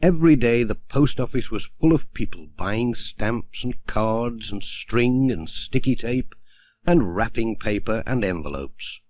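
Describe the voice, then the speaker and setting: A man's voice: mid-range voice, animated, a little slow, a little mellow, dry recording. A man narrating a children's story from a script, as an audiobook reader.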